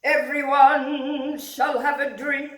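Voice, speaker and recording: A woman's voice: high voice, level tone, takes it slowly, echoey room, pitched above the performer's own voice, poor audio. A woman voicing a stately male lion king who proclaims his orders in a sing-song way. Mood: regal.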